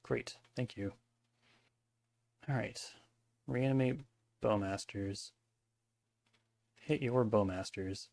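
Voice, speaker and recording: A man casually commentating his own card game match, thinking aloud in mumbled asides, his voice hoarse and cracking at times. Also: lowish voice, slow pace, long pauses, darkish tone, dry recording.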